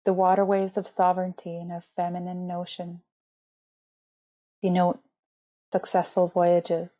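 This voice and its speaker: A woman reads her poem in an even, flat delivery. Her voice is mellow and a bit low. The recording sounds dull and lo-fi. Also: measured pace. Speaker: poet. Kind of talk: poetry reading.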